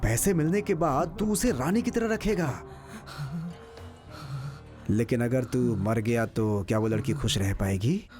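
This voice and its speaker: Man acting a scheming middle-aged uncle talking someone round, lively voice, music behind. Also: medium pitch, measured pace, pauses often, natural voice. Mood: urgent persuasion. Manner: coaxing, persuasive. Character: shrewd older uncle persuading a younger accomplice. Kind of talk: dramatic film dialogue.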